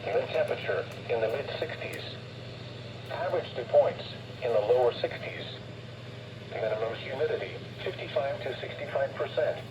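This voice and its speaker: A man's voice: mid-range voice, moderate pace, highs cut off. A man's voice reading a scripted automated weather forecast over a radio speaker in a clipped, formal, robotic manner. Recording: distant radio playback, clear background noise.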